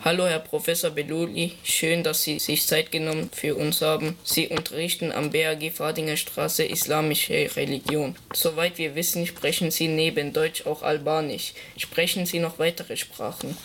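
A young teenage student interviewer reads out prepared questions in a mid-pitched voice. There is faint noise behind the voice. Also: little pitch movement, normal speed, few pauses, fairly bright tone.

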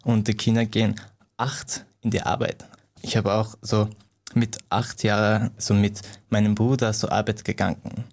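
A man's voice: lowish voice, flat delivery, normal speed, darkish tone. A young man who grew up in Iran, speaking German as an in an interview, hesitant and full of fillers and restarts. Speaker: guest, martial arts coach.

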